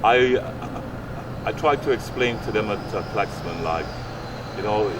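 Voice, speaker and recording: A man's voice: fairly low pitch, lively intonation, unhurried. A man, speaking earnestly and repetitively in a casual interview, often tagging phrases with "right?". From Guyana. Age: late 60s. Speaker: youth fitness coach and community mentor. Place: outdoors on an urban street by brick flats. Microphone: handheld microphone held at chest. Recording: distant outdoor mic, clear street noise.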